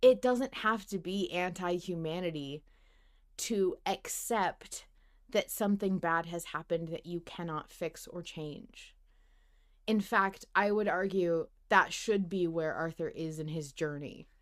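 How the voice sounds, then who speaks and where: A woman hosting a podcast, debating in casual fan discussion, animated and often interrupting herself as she thinks aloud. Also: on the low side, unhurried. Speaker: podcast host.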